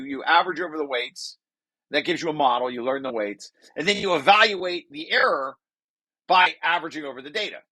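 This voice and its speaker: Older man lecturing, bright forceful voice. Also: a little high, no echo, low-quality recording. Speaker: researcher presenting his paper. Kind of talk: informal technical lecture, reading through a paper.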